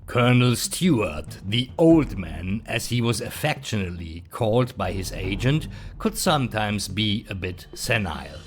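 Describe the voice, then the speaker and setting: A man's voice: on the low side, very animated, unhurried, few pauses. A man narrating a motion comic in a wry, dry, scripted storytelling manner. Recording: music behind the voice.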